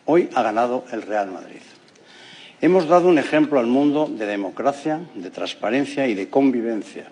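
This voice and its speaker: Man giving a husky formal speech. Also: medium pitch, animated, moderate pace, darkish tone. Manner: solemn, declamatory. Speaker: club president.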